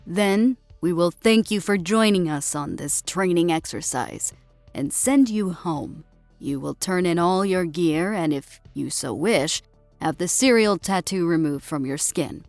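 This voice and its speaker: A woman's voice: on the low side, animated, a little slow, darkish tone, no echo, soft background music. A woman voicing a proud, assuring female senior drill sergeant in an audiobook, addressing recruits in a formal military way. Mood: friendly, assuring. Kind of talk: dramatic audiobook character acting.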